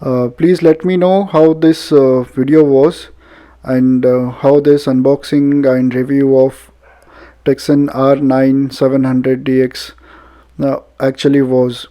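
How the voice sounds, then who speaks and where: A man with an Indian accent giving a casual, halting product demonstration as a radio reviewer. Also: medium pitch, little pitch movement, warm tone. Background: radio music playing.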